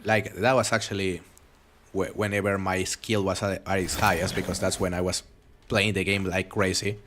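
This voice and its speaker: Young male game streamer, relaxed and rambling, accented, lowish voice, faint hum behind. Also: normal speed. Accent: foreign accent. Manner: relaxed, chatty, rambling with frequent restarts. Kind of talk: live game stream commentary reading chat.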